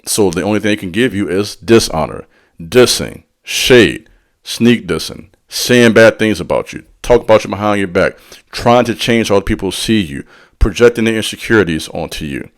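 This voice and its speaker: A man's voice: on the low side, expressive, moderate pace. A man giving a motivational spiritual talk in a preacherly way. He speaks directly to the listener in slang and drops call-and-response asides between points. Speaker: spiritual teacher.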